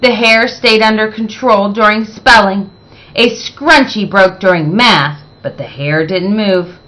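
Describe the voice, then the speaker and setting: Woman reading a kids book out loud, slow, bright voice, really expressive and dramatic. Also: medium pitch, rough recording. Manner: deliberate, dramatic emphasis. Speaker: read-aloud storyteller. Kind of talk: expressive children's picture book narration.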